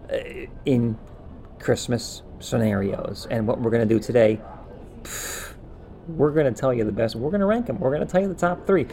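A man's voice: mid-range voice, a little mellow. A man co-hosting a podcast, chatting casually and recapping in a rambling, offhand way. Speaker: podcast co-host.

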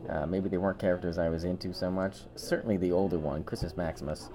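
A man co-hosting a podcast, giving opinions in casual chat and repeating phrases haltingly as he argues. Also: deep voice, steady tone, over music. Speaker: podcast co-host.

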